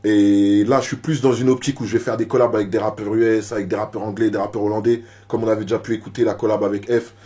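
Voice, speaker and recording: A man's voice: lowish voice, little pitch movement, fast, hardly any pauses, dark tone. A rapper being interviewed, talking casually and often repeating words while he searches for them. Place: media office.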